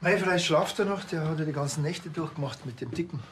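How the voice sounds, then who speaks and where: A man's voice: fairly high pitch, quick pace, fairly bright tone, slight background noise, natural voice. An actor playing a sardonic, bossy small-town policeman with a Bavarian accent, dry and curt, in a TV crime comedy. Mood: dryly mocking. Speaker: police officer.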